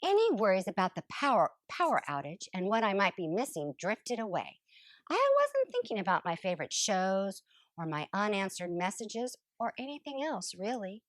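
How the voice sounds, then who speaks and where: A woman reading a children's picture book aloud like a school librarian, with dramatic pauses and stretched words. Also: mid-range voice, sing-song, dry recording.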